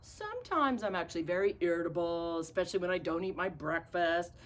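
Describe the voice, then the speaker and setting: A woman's voice: fairly low pitch, expressive, brisk, lightly acted. A woman voicing a polite, well-spoken would-be pirate in a children's storybook, speaking in a prim, overly proper manner. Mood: mild, earnest. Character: polite, well-spoken male rascals hoping to join the crew. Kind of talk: children's storybook read-aloud.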